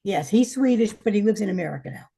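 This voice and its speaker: Gravelly, husky woman guest. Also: medium pitch, lively intonation, fast talker, mellow. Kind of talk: casual conversation.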